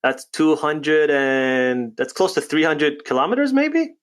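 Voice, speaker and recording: A man's voice: a little high, animated, measured pace. Chatty upbeat male podcast host. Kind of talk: casual podcast interview.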